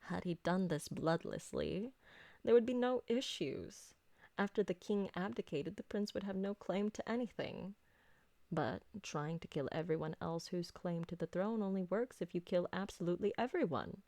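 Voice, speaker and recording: A woman playing an elegant, possessive vampire noblewoman in a roleplay ASMR drama, speaking formally with deliberate diction and doting on her servant. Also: fairly low pitch, animated, darkish tone, no echo. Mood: indignant.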